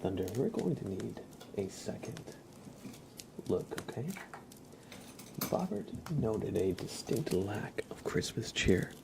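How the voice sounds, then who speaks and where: A man with a low, creaky voice speaks in gentle ASMR style, slipping between whispers and soft speech. He takes his time, close to the mic, with clear background noise and crinkling. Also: very animated, takes it slowly, pauses often. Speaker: ASMR live streamer.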